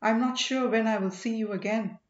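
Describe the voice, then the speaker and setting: A woman's voice: on the high side, moderate pace, natural voice. Woman voicing an earnest young exiled king, echoey. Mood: grateful, wistful. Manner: exclamatory, earnest, formal storybook speech. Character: exiled young king, ardent, grateful, wistful man. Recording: roomy, slightly dull home recording.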